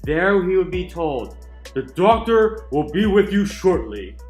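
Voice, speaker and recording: A man with a fairly high voice reads a book aloud in a theatrical, emphatic way. He leaves deliberate pauses between phrases. The room echoes noticeably, and soft chill music plays underneath. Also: sing-song. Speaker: channel narrator reading a book aloud. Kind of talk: dramatic reading of a book foreword. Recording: roomy echo with music underneath.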